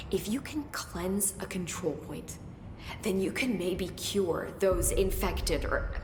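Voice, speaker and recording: An actress playing an eager, brainy research assistant in a video game, chatty and effusive, rambling and halting in turns. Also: female voice. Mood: earnest. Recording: clear background rumbling noise.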